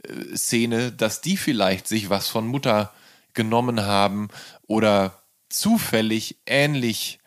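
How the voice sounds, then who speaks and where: Low-voiced male interviewer, dry close sound. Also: takes it slowly, dry recording. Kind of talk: casual conversational interview.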